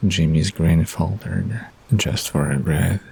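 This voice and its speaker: A man narrates a bedtime story in a very deep, mellow voice. He reads slowly and evenly, with soft guitar music behind him. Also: gravelly, husky.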